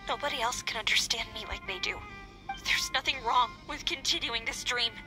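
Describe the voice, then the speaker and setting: An actress playing a gentle, grieving woman in a video game who clings to her dream. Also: a little high, brisk, over music, lightly acted. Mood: wistful. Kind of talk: English video game dialogue.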